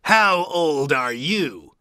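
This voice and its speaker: A woman voicing a blunt, teasing pirate captain in slow, simple cartoon dialogue for English learners. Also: deep voice, expressive, pushed and bright, dry recording, clean studio sound, heavily acted. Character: gruff pirate captain, teasing and easily startled.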